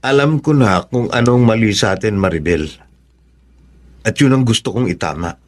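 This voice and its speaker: An actor playing an earnest, decisive boyfriend in a Filipino radio drama, speaking eagerly. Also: male voice, lowish voice, expressive, normal speed, close to an everyday voice. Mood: resolute. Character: earnest, decisive young boyfriend wanting marriage.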